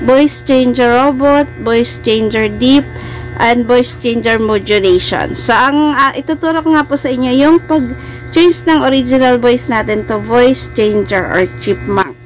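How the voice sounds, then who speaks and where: A woman's voice: on the high side, a little slow, soft background music, poor audio. A Filipino woman hosting a casual, friendly and chatty step-by-step phone editing tutorial for beginners, speaking politely to her viewers. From Philippines. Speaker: host of a video editing tutorial channel.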